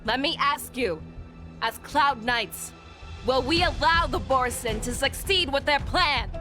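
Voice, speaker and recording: A woman's voice: high-pitched, animated, unhurried, bright, forceful tone, soft background music, heavily acted. A woman playing a bold, authoritative general in an anime-style video game. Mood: rousing command. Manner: authoritative, resolute. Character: bold commanding woman general rallying her knights.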